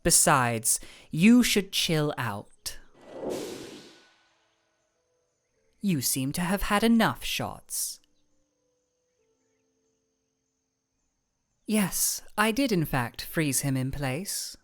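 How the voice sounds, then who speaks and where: Young ice royal played by a woman, fantasy audio drama. Slightly low, widely inflected voice; cool, measured, formal delivery with deadpan wit. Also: exaggerated voice. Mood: deadpan, wry. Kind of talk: scripted fantasy audio roleplay.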